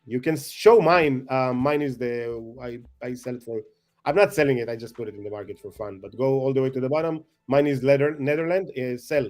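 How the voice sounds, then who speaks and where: A man chatting as a guest, excitable and rambling, self-mocking, with non-native English phrasing. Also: medium pitch, a little fast, soft background music. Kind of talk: casual chat.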